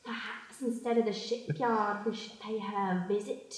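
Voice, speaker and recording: A woman's voice: mid-range voice, fairly even intonation, moderate pace, lightly acted. Woman as a shifty ex-convict mother, very echoey. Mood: placating, sly. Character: shifty, breezy mother fresh out of prison. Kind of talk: improvised radio play acting. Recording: very echoey room.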